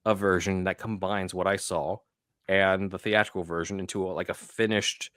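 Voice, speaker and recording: Deep-voiced man in casual discussion. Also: fairly even intonation, moderate pace, a bit bright, clear, resonant tone, dry recording, clean studio sound. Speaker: podcast host.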